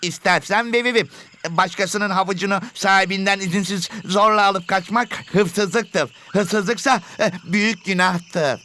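Bright high-pitched cartoon rabbit. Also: male voice, measured pace, slight background noise. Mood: earnest. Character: patient rabbit pupil who loves carrots. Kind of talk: educational cartoon acting.

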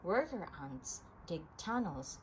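A woman reads a children's nonfiction book aloud at a slow pace. Her medium-pitched voice rises and falls in a lively way as she sets out each short phrase deliberately. Some ambient noise sits behind her. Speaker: read-aloud reader of children's books.

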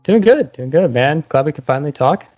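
A man's voice: on the high side, expressive, fairly quick, no echo, muffled recording, faint music behind. A man hosting a podcast, introducing and interviewing his guest. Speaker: podcast host.

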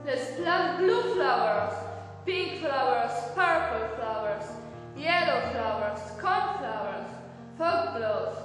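A woman playing an outspoken, bossy orphan girl with a tender side in a puppet show, speaking deliberately and emphatically. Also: high voice, lively intonation. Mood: eager, tender. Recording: echoey hall, distant mic, music.

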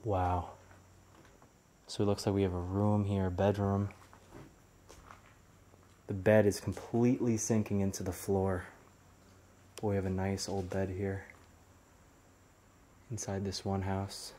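A young man filming himself as he explores a ruined town, narrating what he finds in a curious, hushed way. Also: low voice, level tone, unhurried, pauses often, slight background noise. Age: young adult. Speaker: urban explorer vlogger. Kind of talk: walking exploration commentary. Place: outdoors and inside ruined buildings. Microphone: handheld camera held at arm's length.

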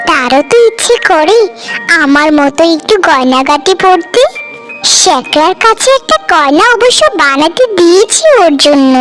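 Woman voicing a kind, high-pitched cartoon village wife. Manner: gentle, sympathetic. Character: kind, caring elder wife of a village household. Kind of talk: Bengali cartoon family drama acting. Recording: music behind the voice. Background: music.

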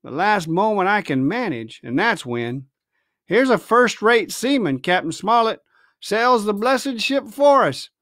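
A man's voice: high-pitched, big pitch swings, a little slow, a bit bright, no room sound, clean studio sound, heavily acted. A man reading a storybook, voicing a sly, domineering pirate ship's cook with hectoring, colloquial sailor speech. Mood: commanding, scheming.

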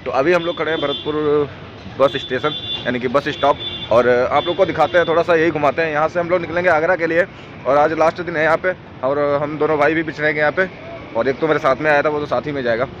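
A hoarse young man in his twenties walks through an outdoor bus station, filming himself on a phone and chatting like a tour guide. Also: fairly high pitch, fairly even intonation, fast talker, background noise. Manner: chatty tour-guide narration, pointing things out. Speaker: travel vlogger. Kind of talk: casual walking vlog. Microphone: phone camera at arm's length. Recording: dull lo-fi phone audio with music behind. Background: music playing and passing buses.

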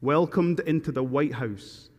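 A middle-aged man from Scotland with a thick Glaswegian accent, preaching a sermon as guest preacher and reading scripture with slow, earnest emphasis at a podium mic. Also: a little high, darkish tone. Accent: Glaswegian Scottish. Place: large church sanctuary. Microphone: podium microphone. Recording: long church reverb.

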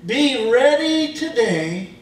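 A Midwestern man preaches slowly and emphatically, his voice sitting high for a man. He is heard from a distance in a very echoey church. A faint hum runs underneath. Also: very animated. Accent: Midwestern American. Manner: slow, emphatic preaching with casual grammar. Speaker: pastor. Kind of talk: sermon. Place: church sanctuary.

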